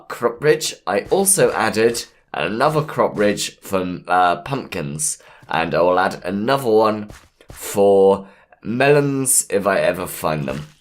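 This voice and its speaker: A man with a hoarse voice from a cold, easygoing and punny as he plans his moves aloud while commentating a game. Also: deep voice. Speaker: gameplay commentator. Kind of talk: let's play commentary.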